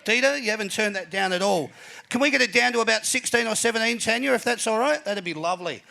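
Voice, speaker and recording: An Australian man hosting a live panel show before an audience, energetic and announcer-like, with plenty of fillers. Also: high-pitched, fast, gravelly. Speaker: host.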